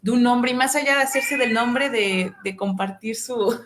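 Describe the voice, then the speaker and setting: A woman's voice: fairly high pitch, moderate pace, background noise. A Mexican woman hosting a live interview, talking in an engaged way and often checking in with tag questions. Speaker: host.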